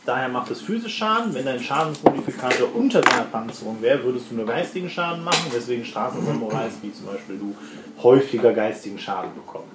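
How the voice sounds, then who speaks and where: Echoey room with clear background noise, then a man with a mid-pitched voice acting as game master, explaining mechanics and describing scenes as he thinks them up. His delivery is explanatory and a little halting. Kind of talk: improvised tabletop game narration and rules explanation. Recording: roomy home recording with clear noise.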